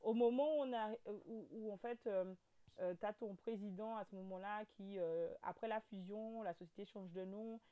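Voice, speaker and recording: A woman in her thirties with a Guadeloupean French accent, a guest telling her story in a casual interview, with lots of fillers, restarts and animated turns. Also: medium pitch, normal speed, clean tone. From French overseas territory. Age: 30s.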